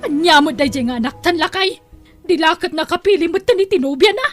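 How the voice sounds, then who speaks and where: A woman acting an expressive, scheming mother of a grown son in an Ilocano radio drama. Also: extremely high pitch, fairly quick, soft background music. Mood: shocked, dismayed.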